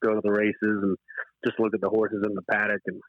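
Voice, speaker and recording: Low, even-toned man on an echoey call. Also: fairly quick, muffled recording. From Zimbabwe, then California from age eight. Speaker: interview guest, horse racing professional. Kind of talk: relaxed interview conversation. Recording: boxy, echoey, band-limited remote call.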